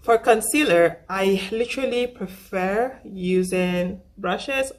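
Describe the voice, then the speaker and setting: A young woman with a husky voice, still getting over the flu, chatting through a casual makeup tutorial and often repeating herself. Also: mid-range voice, takes it slowly. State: recovering from flu. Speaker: makeup vlogger.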